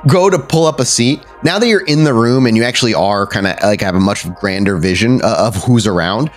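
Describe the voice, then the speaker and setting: A man's voice: lowish voice, animated, a little fast, soft background music. A man improvising descriptive narration as the storyteller of a tabletop roleplaying game, in a casual, conversational way.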